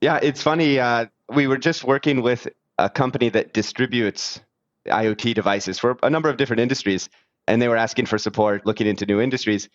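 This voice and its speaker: A man's voice: mid-range voice, brisk, no echo, dull audio. A man hosting an interview podcast, talking casually with many fillers. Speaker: podcast host.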